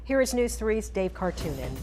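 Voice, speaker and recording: A woman's voice: medium pitch, very animated, normal speed, darkish tone. A woman with a mid-range voice anchors a television newscast. She delivers scripted lines in a presenter's style, with faint music in the recording. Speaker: TV news anchor.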